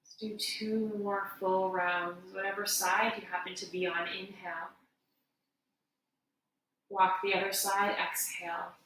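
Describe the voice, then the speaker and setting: A woman leads a guided meditation in a very echoey room, some distance from the microphone. She speaks slowly and gently, like a yoga teacher giving soothing step-by-step instruction. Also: medium pitch, level tone. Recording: echoey room, distant mic, faint noise.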